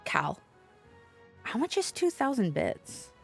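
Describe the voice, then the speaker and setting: A woman's voice: a little high, sing-song, takes it slowly, gravelly, breathy edge. A woman livestreaming a game, chatting irreverently with teasing and swearing. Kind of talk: livestream chat and reading game dialogue aloud. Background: game music.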